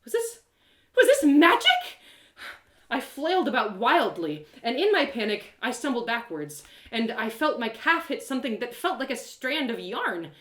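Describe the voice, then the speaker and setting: A woman voicing an excitable, plucky young girl adventurer who narrates her quest with dramatic, colloquial asides. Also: fairly high pitch, moderate pace, reverberant. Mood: panicked. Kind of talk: first-person dramatic story narration.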